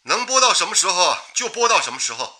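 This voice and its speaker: A man's voice: high voice, sing-song, fast, bright, forceful tone, heavily acted. An actor in a radio drama playing a plucky, breezy wartime radio man on a telephone call. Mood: cheerful.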